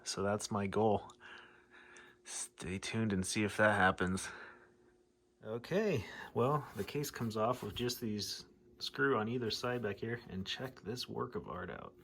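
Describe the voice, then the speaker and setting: A man's voice: fairly low pitch, unhurried, a bit bright, a little hiss or hum. An American man talking informally and thinking aloud as he narrates a hands-on hobby repair video. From United States, Midwest. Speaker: radio hobbyist presenter.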